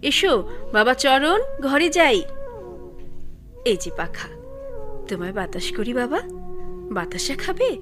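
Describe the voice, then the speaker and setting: A yearning motherly woman in a bengali radio play, talks real slow, coaxing, music behind. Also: sits high, big pitch swings, full character voice. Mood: tender coaxing. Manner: emotional, pleading, coaxing. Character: tender, yearning woman longing to be a child's mother. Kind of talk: Bengali radio drama acting.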